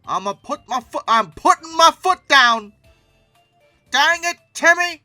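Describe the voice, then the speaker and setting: A man voicing a stern, exasperated parent who scolds loudly and emphatically in a comic commentary video. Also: very high-pitched, animated, a little slow, no room sound, soft background music, pitched above the performer's own voice, exaggerated voice. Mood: exasperated scolding.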